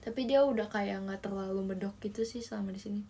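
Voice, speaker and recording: A woman's voice: mid-range voice, fairly even intonation, fast, warm tone, a little hiss or hum. A young woman hosting a casual phone livestream from her bedroom, chatting with viewers, reading their comments and giggling often. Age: young adult. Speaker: idol livestream host. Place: small bedroom indoors. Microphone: phone held close in selfie framing.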